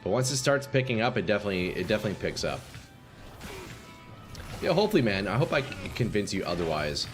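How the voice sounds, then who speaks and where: A man streaming a game live, chatting casually with viewers, swearing and reacting loudly, his voice going a little hoarse. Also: fairly low pitch, big pitch swings, fast talker, pauses often. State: slightly hoarse, losing voice. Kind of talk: casual live-stream chat while playing. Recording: faint game music behind.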